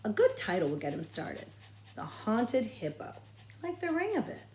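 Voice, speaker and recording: Female narrator, mid-pitched, children's book read-aloud, expressive phrasing, librarian storytelling, noticeable room echo. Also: moderate pace.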